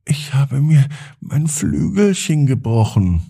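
A man's voice: mid-range voice, very animated, slow pace, vocal fry, warm tone, breathy edge, voice pushed up, full character voice. A man voicing a small injured magpie in a bedtime story, meek and grateful. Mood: meek gratitude.

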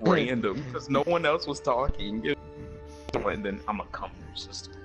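A young man chatting casually while gaming, animated, swearing and bantering with friends. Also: medium pitch, normal speed, soft background music. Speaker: gamer in a friend group.

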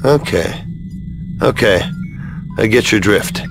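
A man's voice: lowish voice, animated, slow pace, over music. An actor playing a sardonic male action hero in a science fiction video game, wry and sarcastic. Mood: wary, wry.

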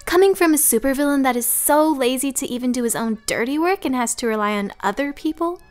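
Young female cartoon villain, high voice, sneering and vengeful, woman acting, faint music behind. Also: expressive, fast. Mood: mocking defiance. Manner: taunting, sneering. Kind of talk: fan comic dub acting.

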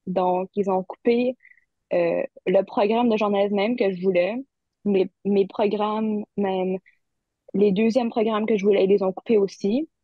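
A woman's voice: mid-range voice, steady tone, a little slow, no echo. A young woman student from Ontario chatting about her studies, talking easily and laughing often. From Barrie, Ontario, Canada. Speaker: university student guest. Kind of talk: casual conversation. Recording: dry, phone-like audio.

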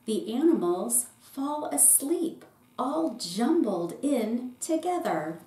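Slow, mellow woman reading a storybook. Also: on the high side, big pitch swings. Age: middle-aged. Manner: deliberate, short phrases with pauses. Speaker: pastor reading a children's picture book. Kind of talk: storybook read-aloud for children. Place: small indoor room with bookshelf. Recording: roomy echo with faint background noise.

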